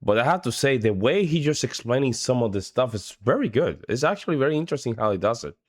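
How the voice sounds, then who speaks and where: A man's voice: mid-range voice, sing-song, fast talker, dry recording. Reflective man with a dry close sound. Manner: reflective, thinking aloud. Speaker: reaction video host. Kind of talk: commentary on a video.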